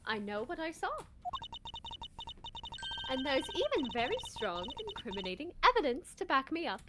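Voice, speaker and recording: A woman's voice: high-pitched, big pitch swings, normal speed, very noisy. A woman voicing a polite, anxious but insistent flight attendant with a courteous, official manner in a game fan dub. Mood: firmly accusing.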